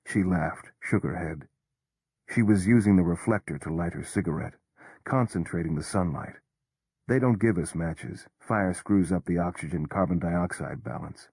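Deep husky male storyteller. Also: moderate pace, a little mellow, dry recording. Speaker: narrator. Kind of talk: first-person audiobook narration.

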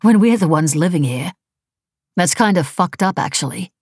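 A female narrator plays a prickly, scornful woman in an audiobook novel. Her voice is husky and full of fry, close and dry. She talks bluntly and sarcastically, with a casual, defensive edge. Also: low voice, lively intonation, a little fast, a little mellow, no echo. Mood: offended. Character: defensive, scornful, foul-mouthed woman activist, earnest and prickly.

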